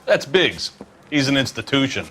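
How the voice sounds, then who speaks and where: An actor playing a brisk, matter-of-fact police superior showing a rookie around in a video game. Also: male voice, medium pitch, very animated, a bit bright, slight background noise.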